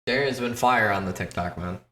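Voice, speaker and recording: A tired man co-hosting a casual podcast chat, low-key and laid-back. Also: a little fast, a bit bright. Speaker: podcast co-host. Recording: echoey room.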